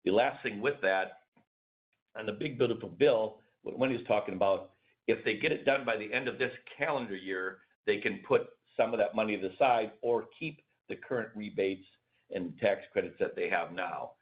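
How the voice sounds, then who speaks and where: A man's voice: lowish voice, moderate pace. A man answering questions as a company executive, casually, with repeated words and everyday comparisons. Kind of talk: answering questions on a conference call. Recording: dull lo-fi call audio.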